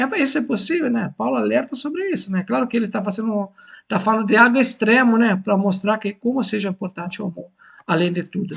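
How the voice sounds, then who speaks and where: A man from Italy with an Italian accent, giving an informal Bible lesson in a halting, repetitive way with frequent tag questions. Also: high voice, gravelly, husky. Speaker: Bible teacher. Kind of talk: informal Bible teaching to camera.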